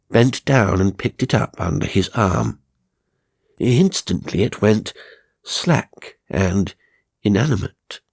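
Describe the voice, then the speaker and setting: A man reading a horror audiobook as narrator, with deliberate, suspenseful, dramatic storytelling. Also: low voice, creaky, slightly rough, dry recording, clean studio sound. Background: music and sound effects.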